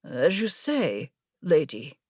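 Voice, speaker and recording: Woman voicing a stern, measured male guard captain. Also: deep voice, sing-song, darkish tone, slightly rough, no echo, clean studio sound, exaggerated voice. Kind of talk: audiobook fantasy character dialogue.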